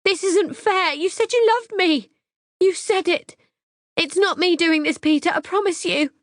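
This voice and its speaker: A woman voicing a distraught young woman who pleads and sobs in a shrill, high voice. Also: normal speed, no room sound, studio quality. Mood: desperate pleading. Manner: shrill, high, sobbing pleas.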